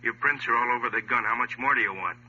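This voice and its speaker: An actor playing a hard-pressing, matter-of-fact police detective, terse and businesslike, in a 1950s radio procedural. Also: male voice, mid-range voice, fast, sharp tone, breathy edge. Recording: dull old radio recording, faint hum and noise.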